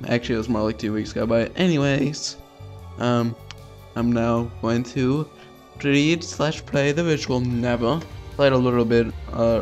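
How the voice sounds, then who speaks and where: A man's voice: mid-range voice, a little mellow. A man narrating a visual novel let's play in an informal, slangy, offhand way. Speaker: video game streamer doing a let's play. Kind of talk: casual let's play, reading visual novel text aloud.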